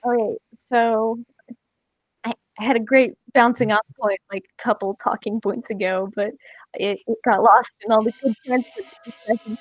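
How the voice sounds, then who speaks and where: A woman's voice: a little high, steady tone, normal speed, no room sound, dull audio. A woman moderating a podcast panel in a casual, conversational style.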